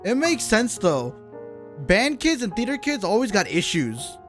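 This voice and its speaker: A woman game streamer reacting casually on a live stream, blunt and expressive. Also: medium pitch, measured pace, soft background music.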